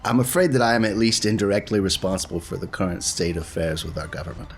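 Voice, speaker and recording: A man chatting casually as a player in a tabletop roleplaying game, measured and a little hesitant. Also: low voice, steady tone, vocal fry, slight background noise. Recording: faint music behind the voice.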